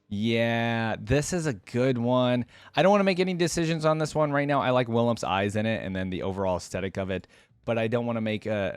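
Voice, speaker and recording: A man's voice: lowish voice, no echo. A man giving casual, unscripted reaction commentary as a video host, chatty and thinking aloud.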